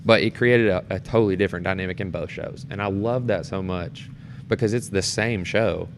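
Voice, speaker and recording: A man chatting casually and telling stories in an animated way, acting out how people react. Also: deep voice, brisk. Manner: animated storyteller, acts out reactions. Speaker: guest, amateur stage performer. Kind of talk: casual storytelling chat. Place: temporary studio in a theatre. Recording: clear background noise and room ambience.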